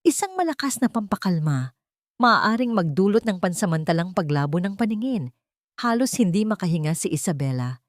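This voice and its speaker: A woman's voice: mid-range voice, sing-song, moderate pace, no room sound, clean studio sound. A woman reading a scripted story as an audiobook narrator, in a dramatic storytelling style.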